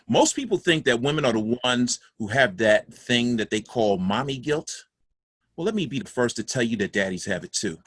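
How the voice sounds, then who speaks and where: A man's voice: medium pitch, fairly bright tone, breathy edge, no echo, clean studio sound. An actor playing a dead father's ghost, a folksy, doting, guilt-ridden man telling his story in a confiding, emphatic way in a play reading. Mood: rueful, tender. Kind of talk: theatrical monologue in a stage play reading.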